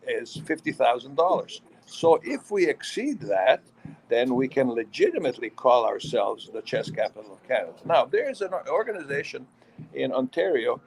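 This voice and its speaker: A man's voice: medium pitch, gravelly, rough recording. Chatty older man hosting a radio chat. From Yugoslavia. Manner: chatty, tells long stories. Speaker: radio host. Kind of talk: casual radio interview chat.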